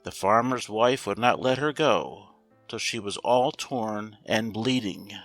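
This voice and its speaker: A man reads a fairy tale in a measured, expressive storytelling style. His voice is bright with a noticeable fry, set in a roomy, echoing space with light music behind it. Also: lowish voice. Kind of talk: scripted fairy-tale narration.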